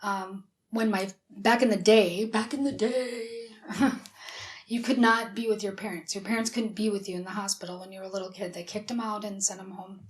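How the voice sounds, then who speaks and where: A woman from South Dakota with a raspy, breathy low voice, chatting openly as a guest in a studio interview. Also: fairly even intonation, normal speed. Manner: chatty, open storytelling. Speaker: whistleblower guest. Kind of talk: personal interview.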